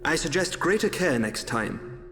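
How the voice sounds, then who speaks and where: An actor playing a serious, composed advisor in a game, speaking formally and with measured care. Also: male voice, on the high side, very animated, a bit bright. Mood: calm reproof. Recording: reverberant hall, faint music.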